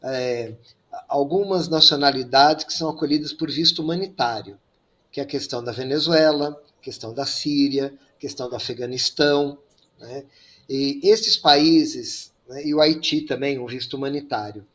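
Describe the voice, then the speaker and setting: Man, priest, panel guest, measured explanations, frequent fillers, fairly high voice. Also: a bit bright. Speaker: priest and migration expert guest. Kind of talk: panel discussion.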